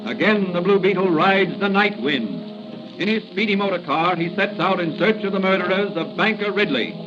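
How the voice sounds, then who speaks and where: An actor as a suspenseful, theatrical narrator of a 1940s radio serial. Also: male voice, high voice, moderate pace, loud music behind the voice, lightly acted. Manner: theatrical rhetorical questions. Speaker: announcer and narrator. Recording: muffled, noisy 1940 radio recording.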